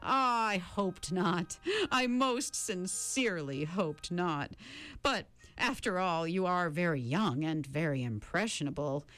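A woman reading an audiobook, voicing a sinister, bland man who mocks children and chants numbers slowly. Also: fairly low pitch, fairly bright tone, soft background music. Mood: mocking. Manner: slow, drawn-out, chanting.

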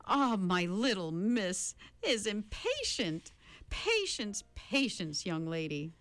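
A woman doing a slow, mocking sinister man. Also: medium pitch, big pitch swings, a bit bright, no room sound, voice pushed up. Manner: slow, drawn-out, chanting.

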